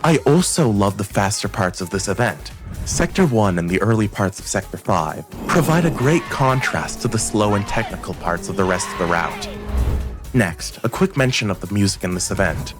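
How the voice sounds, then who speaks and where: A man's voice: fairly low pitch, expressive, normal speed. A man delivering scripted review narration as a video game reviewer, with a playful, opinionated manner. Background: music.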